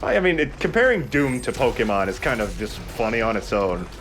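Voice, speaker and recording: A man's voice: medium pitch, big pitch swings, a little fast, a bit bright. A man hosting a let's play, chatting casually as he comments on the game. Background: game music.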